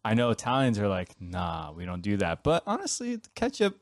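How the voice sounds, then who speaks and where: A man's voice: fairly low pitch, wildly swooping pitch, a little fast, no echo, studio quality. A man co-hosting a podcast, chatting casually and very informally. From grew up partly in Finland. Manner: informal, peppers speech with "like". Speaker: podcast co-host. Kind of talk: casual conversational chat.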